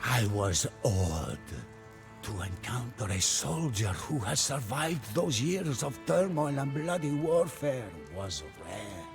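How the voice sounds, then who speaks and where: Solemn traveler narrating a game campaign, really slow n dramatic, grave guy, music under it. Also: mid-range voice, expressive, creaky. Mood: awed, dramatic. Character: solemn male traveler recounting a warlord's grim history. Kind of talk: dramatic scripted storytelling.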